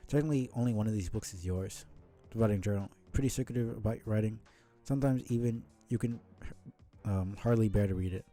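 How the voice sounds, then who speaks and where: A man streaming a casual read-aloud of a comic, with halting, muttered asides. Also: lowish voice, dark tone, faint music behind. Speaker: streamer reading a webcomic aloud.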